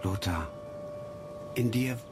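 An actor playing an eerie fish spirit in a radio drama, solemn and incantatory, luring someone into the deep. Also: male voice, slow, heavy background noise. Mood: hypnotic. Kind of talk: German radio drama acting. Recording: loud music under the voice.

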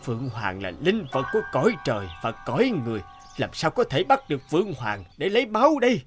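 A man's voice: a little high, huge pitch swings, fast, faint music behind. A man voicing a devoted, anxious middle-aged king in a cartoon, earnest and regal. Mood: anxious, determined.

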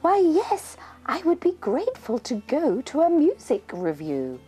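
Woman voicing an eager, high-pitched storybook little girl. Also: wildly swooping pitch, unhurried, soft background music, exaggerated voice. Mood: eager, polite. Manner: bright-eyed, grateful, exclaiming. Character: eager, polite young Venetian orphan girl. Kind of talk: storybook character voice in verse.

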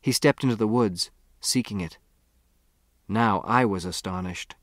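A man's voice: on the low side, measured pace, dry recording. A man reading a first-person story as an audiobook narrator.

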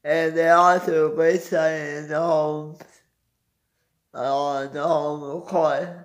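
A young man giving a casual sports recap to his phone at home, halting as he searches for words. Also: fairly high pitch, level tone. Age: young adult. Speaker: sports vlogger. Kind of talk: casual postgame basketball recap. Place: small indoor room at home. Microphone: phone at arm's length.

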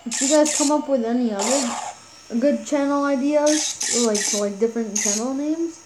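A woman's voice: sits high, moderate pace, background noise. A woman talking casually over mobile gameplay as a YouTube gamer, stumbling and repeating words with many fillers, recorded on a phone. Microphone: phone screen recorder mic.

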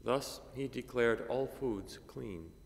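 Older priest, gravelly, in a chapel. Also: male voice, medium pitch. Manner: measured, halting with many fillers. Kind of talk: gospel reading and homily. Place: cathedral chapel, at a lectern. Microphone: lectern microphone. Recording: long reverb of a chapel.

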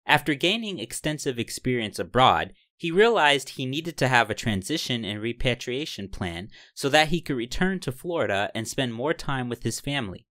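A man's voice: mid-range voice, sing-song, moderate pace, hardly any pauses, fairly bright tone, dry recording, studio quality. A man hosting a podcast who reads a scripted introduction and then asks interview questions in an upbeat, eager way. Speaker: podcast host. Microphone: headset.

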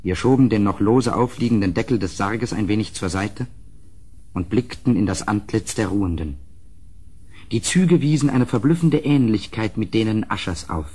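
Somber gentleman telling a gothic horror tale, husky lowish voice, measured and ominous, radio play. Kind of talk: dramatic radio play narration.

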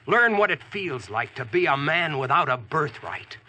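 A man's voice: a little high, very animated, normal speed, bright, forceful tone, heavily acted. An actor playing a practical modern American man among knights, narrating and speaking plainly in 1940s radio style. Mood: firm, instructive. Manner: plain-spoken, instructive. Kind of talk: 1940s radio acting and first-person narration. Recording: vintage radio drama recording with music.

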